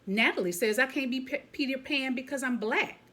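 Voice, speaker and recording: A woman voicing an eager, imaginative young schoolgirl in a storybook, earnest and a little higher in pitch. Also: a little fast, sharp tone. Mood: hurt.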